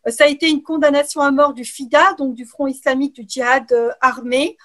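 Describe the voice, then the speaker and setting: A middle-aged woman from Algeria answering interview questions in a measured way, with frequent hesitations. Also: sits high, steady tone, a bit bright. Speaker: interview guest, writer and political scientist.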